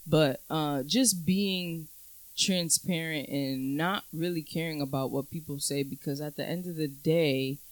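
Young woman podcast host, earnest and thoughtful, slow drawn-out talk, dry close mic. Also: deep voice, dry recording, low-quality recording. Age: 20s. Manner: reflective, earnest, drawn-out phrasing. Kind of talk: casual chat.

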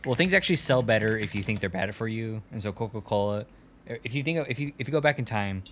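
Man as a smug corporate exec, low deadpan delivery, keeps cracking up; faint hiss. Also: quick pace. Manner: deadpan, absurd, giggly. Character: smug rich male vaccine company executive. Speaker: podcast guest doing a bit.

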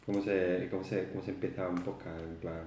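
A man's voice: low-pitched, little pitch movement, brisk, a little mellow, slight background noise. A man, co-hosting a casual podcast in a jokey, self-mocking way, often restarting his sentences. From Málaga, Spain. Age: 30s. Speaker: podcast co-host. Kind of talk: casual chat. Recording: echoey room.

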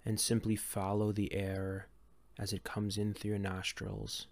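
A man leading a guided meditation in a gentle, calm, unhurried way with long pauses between phrases. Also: lowish voice, vocal fry, dry recording. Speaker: meditation guide and series host.

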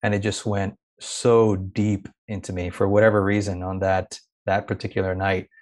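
Soft-spoken thoughtful man, from India. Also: sits low, flat delivery, moderate pace. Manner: thoughtful, searching, with frequent restarts. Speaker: guest. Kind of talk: reflective conversational interview.

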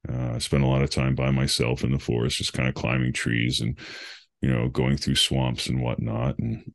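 Deep, fry-heavy male podcast guest, middle-aged, thoughtful storyteller. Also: level tone, moderate pace. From New England. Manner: thoughtful, rambling, with frequent fillers and laughs. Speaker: podcast guest, meditation coach. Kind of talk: reflective conversational storytelling.

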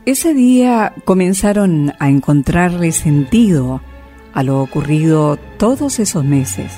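A woman's voice: sits low, animated, slow, over music. A woman narrating a radio drama in a scripted, dramatic storytelling style.

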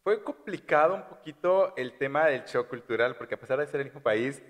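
A man from Chiapas, chatting relaxed on a podcast with lots of agreeing fillers. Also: medium pitch, fairly quick. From Chiapas, Mexico. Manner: relaxed, many "ajá" fillers. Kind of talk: casual podcast chat. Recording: long room reverb.

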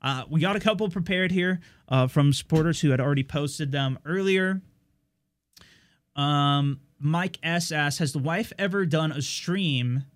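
A man's voice: a little high, a little slow. A young man hosting his stream, chatting casually with viewers in a rambling way full of fillers and restarts.

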